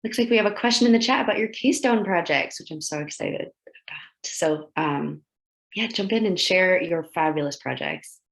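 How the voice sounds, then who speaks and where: A woman's voice: lowish voice, moderate pace, gravelly. A woman moderating a panel on a video call, enthusiastic and encouraging.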